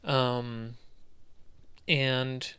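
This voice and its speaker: A man co-hosting a podcast, casually discussing video games, thinking aloud in a halting way and posing questions as he goes. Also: mid-range voice, steady tone, bright, forceful tone, smooth. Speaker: podcast co-host.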